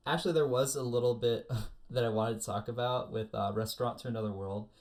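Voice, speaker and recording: A man co-hosting a casual, comedic anime podcast, telling stories in an animated, exaggerated way. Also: mid-range voice, a little fast. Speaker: podcast co-host.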